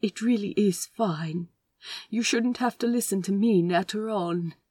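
A woman's voice: mid-range voice, unhurried, dark tone, dry recording, clean studio sound, pitched below the performer's own voice, natural voice. A woman voicing a fussy, polite, anxious man in an audio fiction reading, with a prim and fretful manner. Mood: anxious, apologetic.

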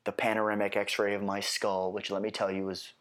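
A man's voice: lowish voice, completely flat delivery, a little fast, a bit bright. A nasal-sounding man getting over a head cold, hosting his solo podcast with rambling, wry asides. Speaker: podcast host.

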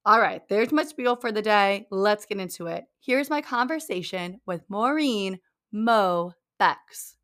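A woman's voice: medium pitch, expressive, unhurried, a bit bright. A woman hosting a podcast, upbeat and chatty, with a slightly scratchy voice. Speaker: podcast host. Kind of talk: casual podcast chat.

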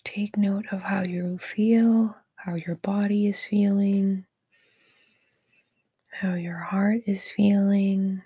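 A woman leading a guided meditation in a soft, breathy, near-whispered voice, gentle and encouraging toward the listener. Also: medium pitch, little pitch movement, slow. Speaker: meditation guide, podcast host.

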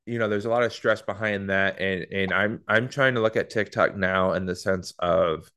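A man's voice: low-pitched, normal speed. A man in his thirties hosting a podcast, talking casually and chattily, often restarting his sentences. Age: 30s. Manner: relaxed, chatty, with many repeated starts. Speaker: podcast host.

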